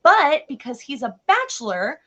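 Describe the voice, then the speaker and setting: A woman retelling a tale in a casual, chatty way, with an animated, theatrical and slangy manner. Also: high voice, normal speed. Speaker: co-host retelling fairy tales.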